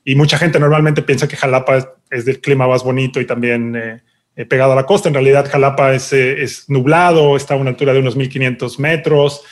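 A Mexican man from Veracruz with a Mexican Spanish accent answering interview questions, relaxed, repeating words as he searches for them. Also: mid-range voice, fast talker. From Xalapa, Veracruz, Mexico. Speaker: guest researcher.

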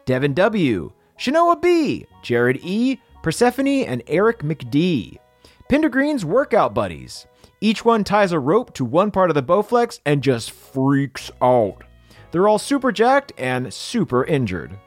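Music plays under a man with a high voice and extremely animated delivery. He reads comedic scripted thank-you lists like a podcast host. His asides are theatrical and mock-earnest. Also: a little slow, no room sound. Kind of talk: comedic scripted shout-outs with joking asides.

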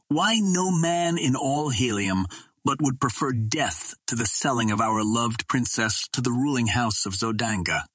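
A man's voice: lowish voice, a bit bright, breathy edge, no room sound. A man voicing a passionate, loyal and proud Martian warrior, making earnest, dramatic declarations in an audiobook story. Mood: dismayed, indignant.

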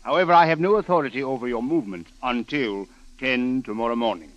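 An actor playing a stern, sardonic police official with a formal, admonishing manner in a 1940s radio drama. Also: male voice, medium pitch, fast, fairly bright tone, no echo, close to an everyday voice. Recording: dry, muffled old radio recording.

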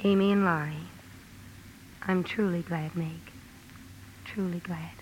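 A woman's voice: fairly low pitch, fairly even intonation, measured pace, dull audio, slight background noise, close to an everyday voice. An actress playing a sincere, passionate young woman writer in a 1950s radio drama, earnest and emotional. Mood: calm, resigned. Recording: old radio broadcast with music.

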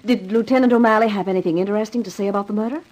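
A woman's voice: medium pitch, very fast, darkish tone, lightly acted. An actress playing a composed, businesslike female boss in a 1950s radio detective drama.

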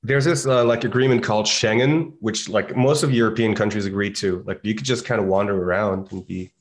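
A man from Switzerland, a musician guest talking on a video call, relaxed and joking, with loose "like"s. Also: lowish voice, a little fast. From Basel, Switzerland. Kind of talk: casual interview chat.

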